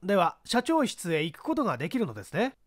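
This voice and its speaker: An authoritative prosecutor in a mystery story, acted out by a male reader, questions witnesses formally. His voice is high and animated, with deliberate, probing phrasing. The recording is dry. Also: no echo, heavily acted.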